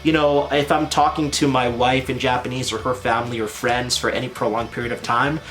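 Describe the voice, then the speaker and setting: A man's voice: medium pitch, level tone, a little fast, fairly bright tone, faint music behind, poor audio. A man in his thirties from Canada, with a Canadian accent, chatting casually and rambling with lots of "like" and "you know". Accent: Canadian English. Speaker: anime vlogger. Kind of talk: casual vlog chat. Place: in Japan.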